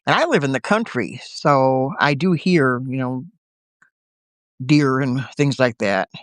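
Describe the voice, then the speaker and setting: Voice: mid-range voice, expressive, takes it slowly, no room sound. A chatty livestream host at home in the countryside, recovering from a bad cold, talking casually and laughing often. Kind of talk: casual live-video chat.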